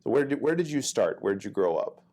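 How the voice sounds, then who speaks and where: A man's voice: medium pitch, very animated, fast, mellow. A middle-aged man hosting an interview, asking friendly, encouraging questions. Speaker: interviewer, command chief. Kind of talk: interview host.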